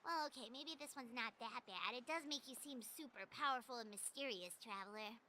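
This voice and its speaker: A woman voicing a tiny, chatty childlike fairy guide in a video game, squeaky, chirpy and inquisitive. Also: a little fast, noisy background, natural voice. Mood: flattered. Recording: music behind the voice.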